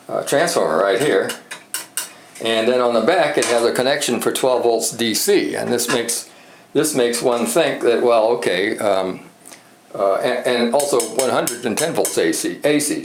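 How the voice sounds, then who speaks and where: Male hobbyist presenter, lower register, off-the-cuff and hesitant delivery, roomy sound with faint background noise. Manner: rambling, halting, thinks aloud. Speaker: hobbyist presenter demonstrating a vintage radio repair. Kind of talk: casual unscripted show-and-tell. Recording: echoey room with background noise.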